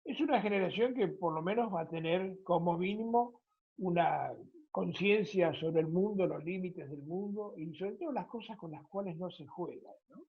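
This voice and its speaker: Casting: middle-aged Argentine male interviewer, husky and conversational, heard on slightly muffled lo-fi audio. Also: sits high, moderate pace. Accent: Argentine Spanish. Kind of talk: conversational radio-style interview. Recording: dull lo-fi audio.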